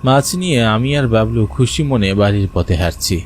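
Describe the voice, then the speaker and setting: A man's voice: medium pitch, expressive, a little fast, a little hiss or hum, close to an everyday voice. A man playing a frightened village fisherman, narrating a cartoon horror story in the first person with suspense and anxious urgency. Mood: uneasy storytelling.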